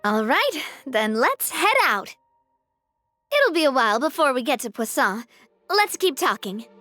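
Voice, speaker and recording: A young woman playing a spirited, warm-hearted and resolute leader in a video game, speaking earnestly and in measured phrases. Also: sits high, very animated, faint music behind, exaggerated voice. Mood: upbeat, brisk. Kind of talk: video game character acting.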